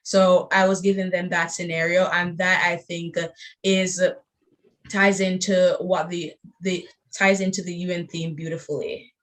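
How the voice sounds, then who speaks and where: A woman from Jamaica, a youth workshop facilitator, explaining on a panel discussion in a measured way. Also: fairly low pitch, little pitch movement, a bit bright. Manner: measured, example-driven explaining.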